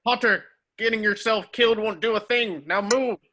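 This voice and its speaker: Man playing a high-pitched, forceful old guard wizard. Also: moderate pace. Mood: stern, urgent. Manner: barking, clipped orders. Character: gruff, commanding, wary veteran wizard leading a guard. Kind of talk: amateur online script table read.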